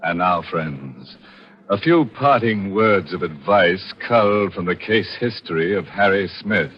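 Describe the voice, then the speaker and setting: A man playing a gleefully macabre, punning horror host on 1940s radio, with a mock-sinister, drawn-out delivery. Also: low voice, animated, noisy background. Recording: dull vintage 1940s radio recording.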